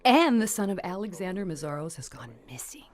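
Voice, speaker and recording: Gossipy gal pal in a radio drama, lowish voice, really animated, earnest. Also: female voice, fairly quick. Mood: excited, incredulous. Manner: expressive, newsy. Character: earnest, gossipy female friend of a socialite.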